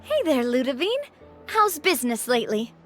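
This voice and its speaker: Friendly young lady boss from a game, decisive, higher voice. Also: sing-song, moderate pace, a bit bright. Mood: cheerful. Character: confident young female gang boss, upbeat. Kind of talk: video game voice acting. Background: game music.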